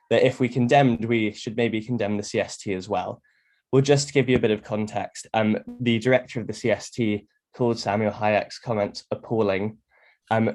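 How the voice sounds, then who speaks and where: Low-pitched man making an earnest meeting speech. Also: darkish tone. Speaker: community deputy speaking in a debate.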